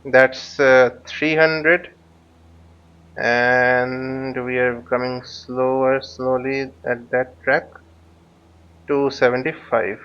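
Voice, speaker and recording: A man giving live flight simulator tutorial commentary as an instructor, haltingly thinking aloud as he demonstrates. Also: mid-range voice, level tone, smooth. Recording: dull, lo-fi microphone sound.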